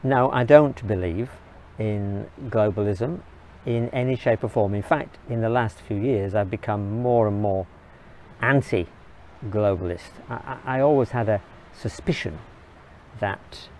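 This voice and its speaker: A man from England, giving an earnest, measured opinion monologue in short, halting phrases. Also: lowish voice, a little mellow, slight background noise. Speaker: video blogger.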